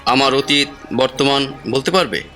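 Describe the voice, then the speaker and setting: A man's voice: mid-range voice, normal speed, sharp tone, faint music behind, close to an everyday voice. A man playing a skeptical, wry friend in an audio drama, dry and doubting. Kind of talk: Bengali audio drama acting.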